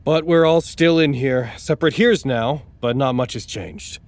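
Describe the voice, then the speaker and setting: A man's voice: medium pitch, normal speed, no echo, natural voice. Male, mid-range voice; actor as anxious, wry facility officer, formal and articulate audio drama delivery. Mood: confessional, wistful.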